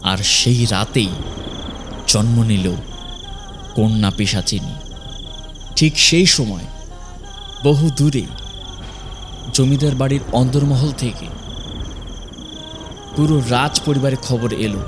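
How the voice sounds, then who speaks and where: A man narrating a scripted horror story in a suspenseful, dramatic way with deliberate pauses. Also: medium pitch. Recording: music behind the voice.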